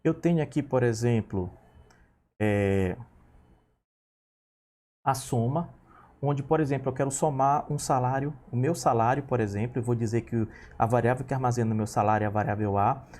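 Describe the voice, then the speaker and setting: Patient teacher in his thirties, mellow voice. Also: mid-range voice, moderate pace. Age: 30s. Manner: patient, talks through each step with frequent "tá?" and "ok?". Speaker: programming teacher. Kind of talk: screen-recorded coding lesson.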